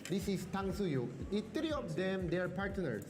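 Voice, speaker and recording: Excited man in broken English. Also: high-pitched, moderate pace, noisy background. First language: Tagalog and Korean. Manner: broken English, eager, loud yummy sounds. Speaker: restaurant guide. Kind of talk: casual food tour chat.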